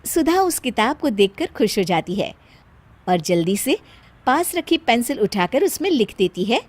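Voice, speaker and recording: A woman's voice: a little high, sing-song, moderate pace. A woman narrator reading a scripted story for a cartoon.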